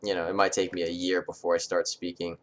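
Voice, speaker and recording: A man's voice: sits low, little pitch movement, fast. A man from the United States hosting a live chat from home, talking in a rambling, friendly and encouraging way. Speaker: host.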